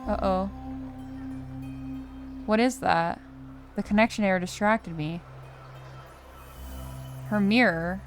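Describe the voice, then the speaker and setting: A young adult woman giving casual let's play commentary, chatty and slangy as she thinks out loud. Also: medium pitch, slow pace, long pauses. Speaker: gaming streamer. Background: game music.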